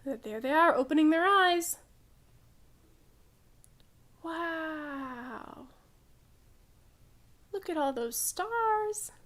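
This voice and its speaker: A woman reading a picture book aloud for a children's storytime, expressive and deliberate, adding short asides. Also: high voice. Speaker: children's librarian, storytime reader.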